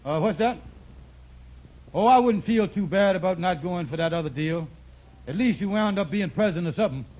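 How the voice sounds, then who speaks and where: A man's voice: high-pitched, lively intonation, brisk. An actor playing a scheming, blustering husband and con man with poor grammar in an old radio sitcom. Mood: blustering, slick. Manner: blustering, hesitant, ungrammatical. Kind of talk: mid-century radio sitcom acting. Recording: muffled, noisy vintage radio recording.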